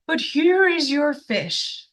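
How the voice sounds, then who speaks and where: A woman voicing a knowing, chiding man from a children's story, with deliberate, admonishing delivery. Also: high voice, sing-song, noisy background, pitched above the performer's own voice, full character voice. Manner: deliberate, admonishing, stretched-out words.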